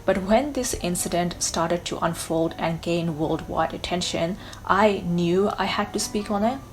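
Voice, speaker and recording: A woman's voice: fairly low pitch, measured pace, slight background noise, poor audio. A young woman from Bangladesh narrating a scripted history explainer video in an earnest, personal and emphatic way. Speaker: YouTuber.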